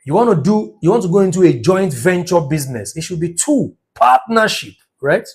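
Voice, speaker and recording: Husky man teaching with emphasis. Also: sits high, big pitch swings, normal speed. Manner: emphatic, checks in with "right?". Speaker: numerology teacher. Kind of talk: teaching talk.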